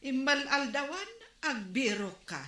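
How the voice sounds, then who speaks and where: An actress playing the captain's wife, answering with sharp, reproachful retorts. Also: female voice, high-pitched, expressive, a little slow, reverberant, heavily acted. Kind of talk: Ilocano radio comedy drama acting.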